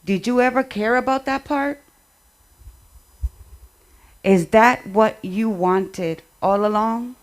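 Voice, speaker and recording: A woman speaking at a community memorial event, delivering remarks and poetry in short, measured phrases. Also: fairly high pitch.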